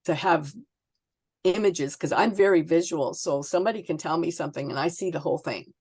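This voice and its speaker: A woman author being interviewed, answering conversationally in a reflective, halting way as she searches for words. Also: low-pitched, a little mellow, breathy edge, dry recording.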